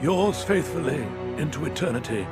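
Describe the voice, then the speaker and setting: An actor playing a formal, grave high judge in a fantasy game, narrating an official letter. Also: male voice, animated, moderate pace, loud music behind the voice.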